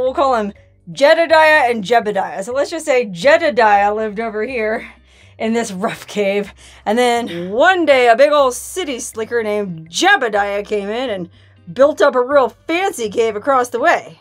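A woman in her thirties talks casually to the camera she holds at arm's length while poking around a rock cave. She chats playfully, laughs often and makes up silly stories as she goes. Faint music plays underneath. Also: a little high. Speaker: solo outdoor adventure vlogger. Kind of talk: casual vlog narration while exploring. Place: outdoors, inside a rock cave and cabin in a canyon. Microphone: handheld camera at arm's length.

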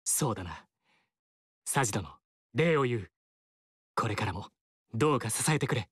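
Game VO: a sincere young warlord, formal, resolute, animated pitch. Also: male voice, moderate pace, breathy edge, dry recording, studio quality. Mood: earnest. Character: young male warlord, earnest and righteous. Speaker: game character. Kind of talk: game dialogue acting.

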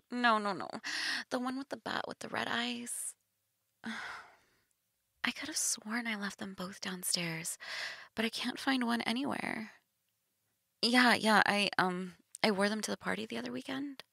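Woman acting a bright, creaky-voiced young goth stepsister. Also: fairly high pitch, measured pace, long pauses, dry recording, studio quality, exaggerated voice. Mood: sheepish. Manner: hesitant, giggly, casual, sighing. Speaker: audio role-play performer. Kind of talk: intimate girlfriend role-play acting.

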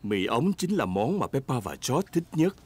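A woman's voice: rumbling low, very animated, moderate pace, noisy background. A woman narrating a preschool cartoon as a kindly storyteller in simple scripted lines. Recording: music behind the voice.

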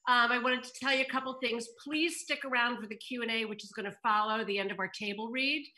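A woman's voice: on the high side, fairly even intonation, quick pace, sharp tone, poor audio. A middle-aged woman on a home video call, informally hosting a table read and narrating stage directions. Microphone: computer video call.

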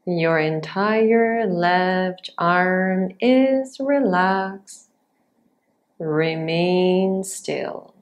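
A woman with a slightly low voice leads a guided yoga nidra meditation. She speaks extremely slowly, in short, measured phrases. Long pauses separate each instruction, keeping the delivery unhurried throughout. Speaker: yoga nidra teacher guiding a practice.

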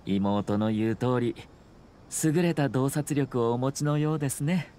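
A man's voice: medium pitch, mellow, a little hiss or hum, lightly acted. Man acting composed, courteous young nobleman. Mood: calm, reflective. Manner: measured, formal, polite. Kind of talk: anime game voice acting.

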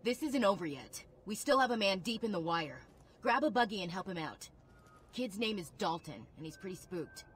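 An actress playing a brisk, confident female military officer in a video game. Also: medium pitch, big pitch swings, slight background noise, natural voice. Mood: urgent, commanding.

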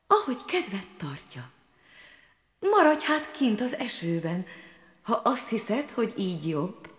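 Picture a tiny fairy-tale frog maiden, soft-spoken and coaxing, her voice lilting and sing-song as she hushes into whispers, as a woman puts her on. Her pitch rises and falls with lively swings, never loud, always tender and patient. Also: echoey room, close to an everyday voice. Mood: gentle coaxing. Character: sweet little female frog, gentle and coaxing.

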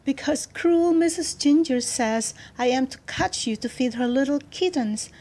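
A woman voicing a tiny, gentle five-year-old girl in a storybook, speaking in a polite, pleading manner. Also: a little high, expressive, unhurried, slightly rough, heavily acted. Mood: sobbing.

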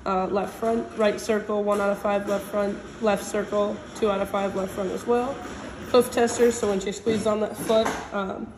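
A woman with a stutter reads out exam findings in a flat, even voice. The room is echoey, with faint noise behind her. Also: mid-range voice, normal speed. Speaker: vet technician.

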